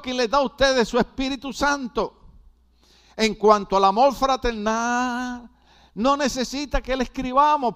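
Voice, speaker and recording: Older Puerto Rican pastor preaching. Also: male voice, sits high, clear, resonant tone. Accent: Puerto Rican Spanish. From Puerto Rico. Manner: preaching with rhetorical questions to the congregation; builds to loud, emphatic climaxes. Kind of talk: sermon.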